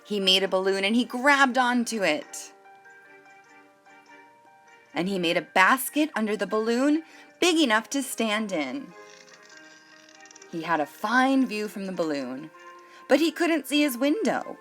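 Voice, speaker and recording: Female storytime host for young kids, unhurried, highly animated delivery. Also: soft background music. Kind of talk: expressive picture-book read-aloud for children.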